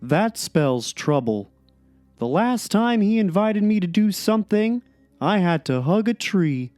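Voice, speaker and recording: A woman's voice: mid-range voice, big pitch swings, a little slow, mellow, dry recording, soft background music. A woman playing a resigned, self-deprecating cartoon blogger, giving a wry, downcast dramatic reading of a diary. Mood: resigned, glum.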